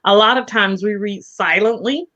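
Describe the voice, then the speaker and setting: A woman's voice: medium pitch, normal speed, fairly bright tone. Enthusiastic woman on slightly dull audio. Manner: enthusiastic, encouraging. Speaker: co-host and event organizer. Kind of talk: casual promotional chat.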